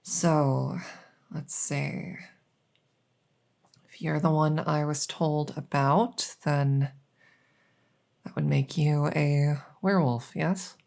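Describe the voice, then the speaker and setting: A woman playing a polite, hesitant, matter-of-fact night vet in an ASMR audio roleplay, with a creaky voice. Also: low-pitched, fairly even intonation, extremely slow, long pauses, a little mellow, heavily acted. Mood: professional, reassuring. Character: soft-spoken, polite night vet receiving a supernatural patient.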